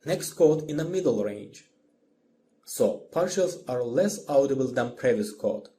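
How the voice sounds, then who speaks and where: A man who is a non-native English speaker narrates a scripted music lesson as the instructor, in a deliberate, explanatory manner. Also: mid-range voice, warm tone. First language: not English.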